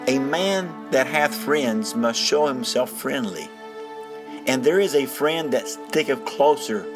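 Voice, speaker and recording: A man with a mid-range voice speaks earnestly, like a storyteller giving an informal sermon. His wording is folksy and he pauses for emphasis. Music plays softly behind him. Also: mid-range voice. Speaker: storyteller and preacher. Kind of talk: dramatized first-person storytelling turning to informal sermon. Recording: music bed under the voice.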